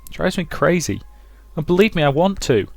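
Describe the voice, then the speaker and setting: A man voicing an insecure, affectionate, tipsy young woman who speaks in a halting, earnest, confiding way in a visual novel. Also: a little high, big pitch swings, vocal fry, a little mellow, no echo, pitched above the performer's own voice, heavily acted. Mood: anxious, insecure.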